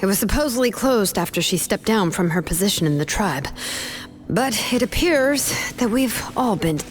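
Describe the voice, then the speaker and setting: A woman's voice: mid-range voice, lively intonation, normal speed, close to an everyday voice. An actress playing a cool, decisive young woman adventurer in a video game, speaking in an assertive, matter-of-fact way. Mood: cool, pondering. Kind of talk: dramatic video game voice acting. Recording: game audio with background music. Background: game music.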